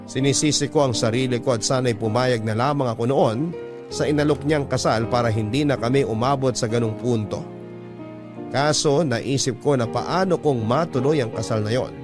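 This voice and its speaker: A man with a Filipino accent narrating scripted, dramatic storytelling in a classic radio style. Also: mid-range voice.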